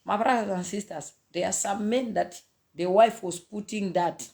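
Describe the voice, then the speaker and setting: A Zambian woman in her thirties speaks straight into a phone camera from a small indoor room. She talks in an animated, preachy way, breaking into laughter and slipping in pidgin phrases as she goes. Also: medium pitch, normal speed, creaky. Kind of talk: casual preachy monologue to camera. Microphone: phone camera at arm's length.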